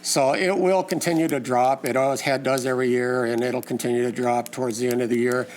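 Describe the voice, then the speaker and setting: Hoarse man addressing a local meeting. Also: medium pitch, fairly even intonation. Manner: halting, many uh and um fillers, plain folksy wording. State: hoarse, losing his voice. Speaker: community meeting organizer and lake info page host. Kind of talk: informal public talk to a local meeting. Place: community meeting room.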